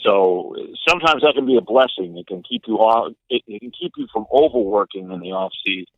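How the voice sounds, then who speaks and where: A man giving sports analysis as an interview guest, explaining things in a measured, halting way. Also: lowish voice, very animated, gravelly, no room sound. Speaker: radio play-by-play announcer, interview guest. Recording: narrow, tinny phone-like line.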